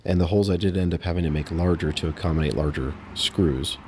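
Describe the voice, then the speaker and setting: Man, extremely low pitch, casual how-to narration, matter-of-fact, unscripted, audible background noise. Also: fast talker, darkish tone. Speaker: host of a DIY install vlog.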